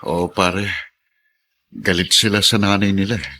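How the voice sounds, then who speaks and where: A man's voice: sits low, steady tone, measured pace, bright, forceful tone. A man with a Filipino accent acting in a radio drama as a hardworking, steadfast middle-aged pedicab driver and husband. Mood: earnest, resolute.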